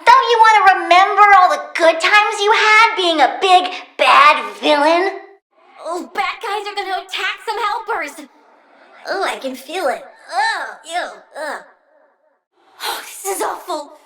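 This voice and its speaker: A very high, bright, girlish voice for a video game villain, a young plant girl. She is cutesy and pouty, with a singsong sweetness that carries menace and vengeful glee. Her delivery is unhurried and swings widely in pitch. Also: animated, distant mic, full character voice. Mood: distressed, pouty. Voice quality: girlish, childlike. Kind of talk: video game character acting.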